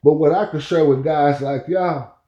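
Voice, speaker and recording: A man's voice: on the high side, steady tone, normal speed, warm tone. A man in his fifties telling a rambling story in casual talk, often checking in with "you know where I'm coming from.". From Oklahoma. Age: 50s. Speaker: podcast host. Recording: muffled, echoey room.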